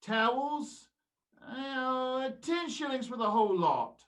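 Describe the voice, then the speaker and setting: An actor playing a miserly, haggling old junk dealer in a radio play. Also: male voice, extremely high pitch, lively intonation, takes it slowly, fairly bright tone, heavily acted. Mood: sly haggling. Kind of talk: stage-style radio play acting. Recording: slightly distant microphone.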